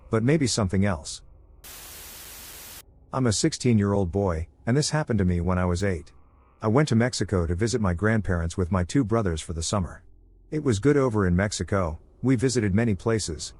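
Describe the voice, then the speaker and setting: A man with a fairly low voice reads out creepy first-person stories. His delivery is calm and steady, a scripted storytelling narration. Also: slightly rough. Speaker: voice actor narrating submitted stories.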